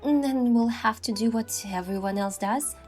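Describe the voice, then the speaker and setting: A woman with a fairly high voice narrates a first-person story. She is expressive and acts out her lines. Music plays softly behind her. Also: measured pace. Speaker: narrator voicing a game's heroine.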